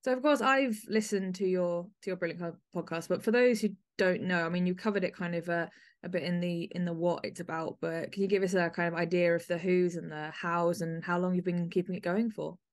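A woman's voice: lowish voice, fairly even intonation, fairly quick. A woman hosting a podcast in a conversational way, chatty and effusive with her guest. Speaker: podcast host, interviewer.

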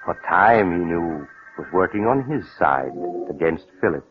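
A man playing the dramatic, deliberate storyteller of a 1940s radio mystery. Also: low voice, expressive. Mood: knowing, suspenseful. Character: ominous male storyteller of a mystery thriller. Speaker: narrator. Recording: old tinny radio recording with music.

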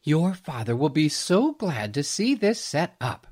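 Man putting on a high, cheerful storybook mother. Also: very animated, measured pace, a little mellow. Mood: cheerful, gentle. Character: warm, cheerful young mother in a Christmas story.

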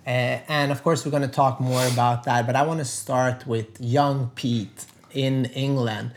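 A man's voice: mid-range voice, fairly even intonation, unhurried, a little hiss or hum. A man from Sweden hosting a podcast interview, reading a guest introduction and asking questions. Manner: reads guest introduction, brief affirmations. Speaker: podcast host.

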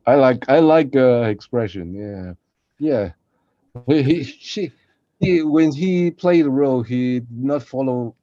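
A man's voice: medium pitch, expressive, takes it slowly, warm tone, no echo, highs cut off. A man who is a non-native English speaker, chatting casually as a co-host in a jovial, encouraging way. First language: not English. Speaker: podcast co-host and voice performer. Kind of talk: casual chat.

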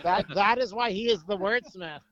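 A man with a high-pitched voice chats casually over a cell phone. The sound is dull and lo-fi, as an informal phone interview. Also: normal speed, pushed and bright. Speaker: phone interviewee, amateur ice fisherman. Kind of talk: casual chat.

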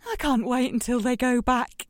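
A woman's voice: fairly high pitch, steady tone, normal speed, loud music behind the voice, natural voice. An actress playing a desperate, wry mother at the end of her tether in an audio drama, conspiratorial and dryly sarcastic. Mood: relieved.

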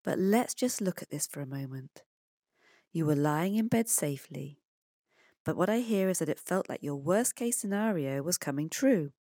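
Calm, reassuring storybook dad, voiced by a woman. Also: sits low, moderate pace, no echo, clean studio sound. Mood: calm, sympathetic. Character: calm, understanding father of a schoolboy. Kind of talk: children's storybook dialogue.

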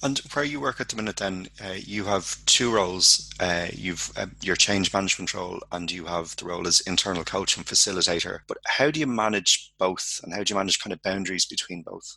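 A man's voice: low voice, fairly quick, vocal fry, hardly any pauses, pushed and bright, slightly rough. A man hosting a podcast, asking his guest short, friendly questions. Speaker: podcast host. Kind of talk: interviewer.

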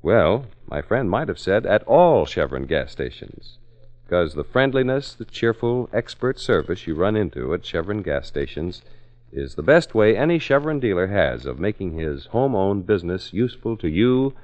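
A man's voice: low voice, big pitch swings, normal speed, darkish tone, muffled recording. A man announcing in 1940s radio style, with a polished, folksy sales delivery.

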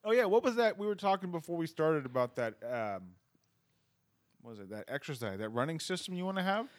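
A man's voice: a little high, brisk, no room sound, clean studio sound. A man hosting a podcast, chatting casually and joking with plenty of swearing. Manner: animated, profane, joking. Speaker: podcast host.

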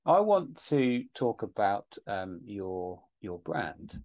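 A man hosting a casual interview, asking reflective questions with halting restarts. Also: fairly low pitch, very animated, darkish tone. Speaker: interviewer, host.

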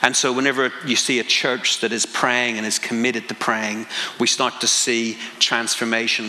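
Northern Irish pastor preaching in a hall. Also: male voice, medium pitch, bright, forceful tone. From Northern Ireland. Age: 40s. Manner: conversational, self-deprecating, building to passionate emphasis. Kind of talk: sermon. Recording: long hall reverb.

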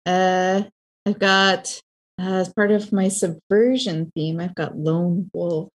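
A woman's voice: lowish voice, steady tone, slow. A woman chatting casually as a player in a tabletop role-playing game.

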